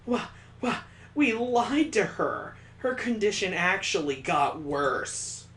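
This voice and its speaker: A woman's voice: sing-song, slow pace, fairly bright tone. A man voicing a terrified middle-aged mother who stammers and pleads for her life in a visual novel reading. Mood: pleading. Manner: pleading, stammering, breaking into high-pitched wails. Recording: roomy room echo.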